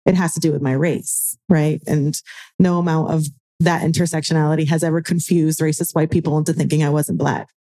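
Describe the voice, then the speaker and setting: A woman's voice: sits low, little pitch movement, a little fast, dark tone. An American woman in her forties speaking as a panel guest, emphatic and wry, repeating phrases for effect. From United States. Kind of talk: panel discussion.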